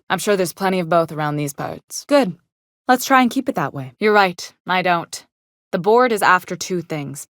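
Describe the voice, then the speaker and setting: A woman's voice: fairly low pitch, no room sound, studio quality, natural voice. An actress playing a pragmatic, protective woman starship captain and engineer in a video game, speaking in a frank, plain-spoken, wry way. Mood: wry, guarded.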